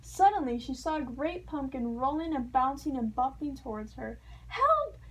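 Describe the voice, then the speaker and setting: A woman narrating a children's storybook aloud in an expressive, playful storytelling manner. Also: high voice, normal speed, husky, rough recording. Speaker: storybook reader.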